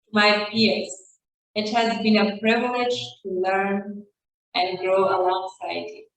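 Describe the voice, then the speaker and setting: A woman's voice: mid-range voice, flat delivery, slow pace, fairly bright tone. A woman graduate reading a prepared speech in a formal, grateful tone. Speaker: program graduate. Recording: echoey room, distant mic.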